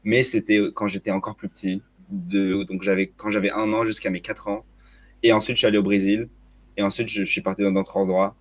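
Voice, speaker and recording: A man's voice: fairly low pitch, flat delivery, brisk. A young man with a slight, hard-to-place foreign accent, talking as a guest in a casual discussion and often restarting his sentences. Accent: slight hard-to-place foreign accent in French. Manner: hesitant, many restarts and repeated words.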